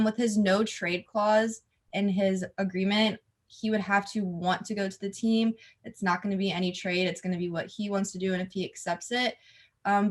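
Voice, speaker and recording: A young woman guest on a casual sports podcast, giving opinions steadily with frequent "um"s. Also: medium pitch.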